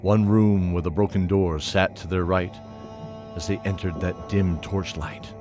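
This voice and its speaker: A man narrating a fantasy audio drama from a script in a measured storytelling manner. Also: low voice, steady tone. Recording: music playing behind.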